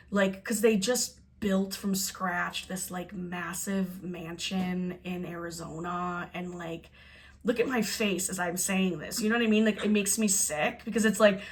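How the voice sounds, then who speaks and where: A young woman from Buffalo, New York, hosting a podcast in casual chat and talking in an animated, meandering way. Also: medium pitch. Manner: chatty, animated, trails off and restarts. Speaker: podcast host.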